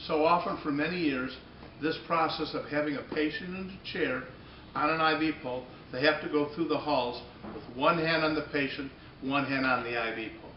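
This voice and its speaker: A middle-aged or older man giving an unscripted product demonstration, often repeating and rephrasing himself in a halting way. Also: on the high side. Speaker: company presenter demonstrating a product. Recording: echoey room, dull muffled audio, noticeable background noise.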